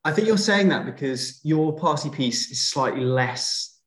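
A man's voice: fairly high pitch, expressive, measured pace, a bit bright. Brief: a man from Liverpool, a podcast guest, chatty and self-deprecating, in a roomy echo. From Liverpool, England. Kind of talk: casual conversation.

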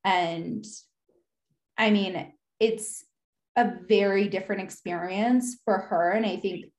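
A young American woman in her twenties talks openly as a podcast guest. Her delivery is loose and conversational, full of "like" and "you know", and she often pauses to gather her thoughts. Her pitch sits in a middle range for a woman. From United States. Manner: casual, frequent "like" fillers, reflective. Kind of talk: candid conversational interview.

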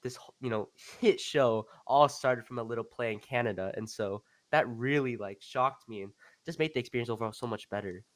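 A man's voice: mid-range voice, fairly quick, hardly any pauses. A young man co-hosting a casual podcast interview, speaking in an earnest, deferential way. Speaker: podcast co-host.

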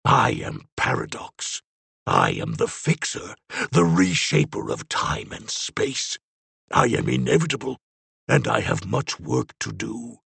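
A man's voice: deep voice, wildly swooping pitch, unhurried, gravelly, a bit bright, breathy edge, dry recording, pitched below the performer's own voice, heavily acted. A man voicing a menacing, faceless monster who declaims in a grandiose, ominous manner. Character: menacing faceless shelled monster, reshaper of time and space.

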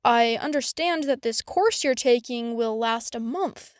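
A woman's voice: on the high side, a little slow, no room sound, clean studio sound, close to an everyday voice. A woman voicing a concerned, protective, courteous father in a storybook reading. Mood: inquiring.

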